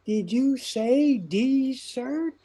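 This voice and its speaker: Female actor as hundred-year-old man for radio comedy: husky, high-pitched, muddled, guileless. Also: lively intonation, mellow, exaggerated voice. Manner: slow, muddled elderly talk. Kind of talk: radio comedy play acting.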